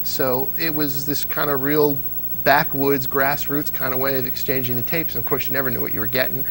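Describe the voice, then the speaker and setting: A man's voice: medium pitch, little pitch movement, brisk, vocal fry, fairly bright tone, faint music behind, rough recording. A man in his thirties, a guest on a studio interview show, telling stories in a chatty way with many fillers. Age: 30s. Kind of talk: casual interview. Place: TV studio set.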